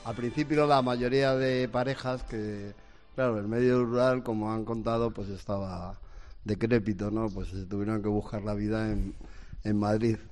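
A man's voice: fairly low pitch, steady tone, moderate pace, a little mellow, faint music behind. A man in his sixties from Spain, a guest telling family stories in a casual, hesitant, rambling way. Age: 60s. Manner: rambling, hesitant storytelling with restarts. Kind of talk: casual chat.

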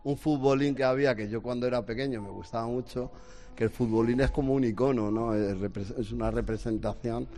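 A man's voice: mid-range voice, fairly even intonation, a little fast, dark tone, a little hiss or hum. A man in his sixties from Spain, a guest telling family stories in a casual, hesitant, rambling way. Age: 60s. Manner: rambling, hesitant storytelling with restarts. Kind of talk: casual chat.

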